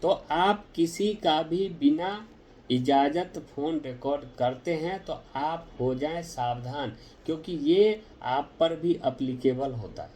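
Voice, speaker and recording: A man explaining a legal ruling to viewers in a direct, deliberate, explanatory way. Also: on the high side, warm tone, a little hiss or hum.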